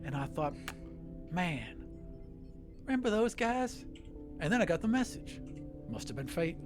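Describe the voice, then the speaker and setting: A man's voice: fairly high pitch, big pitch swings, measured pace, over music. A man playing a tabletop role-playing game, improvising casual in-character chat.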